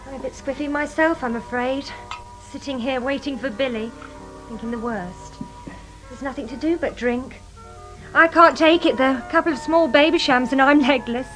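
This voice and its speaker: Tipsy wife of a crook: high, girlish voice, brittle and bitter, radio drama. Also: moderate pace, fairly bright tone, faint music behind, rough recording. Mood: tipsy, anxious. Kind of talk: radio crime drama dialogue.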